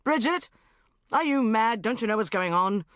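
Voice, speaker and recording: A woman's voice: fairly high pitch, animated, moderate pace, a bit bright, no room sound, clean studio sound, voice pushed up. A woman voicing an impatient man who barks out exasperated lines. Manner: barking, exasperated. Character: impatient man distracted by a football match.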